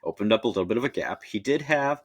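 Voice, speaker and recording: A man co-hosting a podcast, giving casual, measured analysis of a sporting event. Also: lowish voice, expressive, slightly rough, dry recording, clean studio sound. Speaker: podcast co-host.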